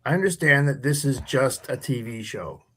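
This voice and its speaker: A man's voice: mid-range voice, expressive, normal speed, sharp tone, husky. Man playing an earnest nerdy teenage superfan. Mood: starstruck, earnest. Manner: stammering, earnest, formal nerdy phrasing. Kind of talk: live radio play read.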